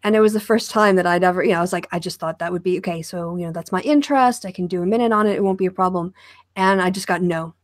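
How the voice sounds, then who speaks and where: A woman hosting an online discussion, talking conversationally in a measured, questioning way. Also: lowish voice, no room sound, clean studio sound. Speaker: host of an online video channel. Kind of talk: conversational panel interview.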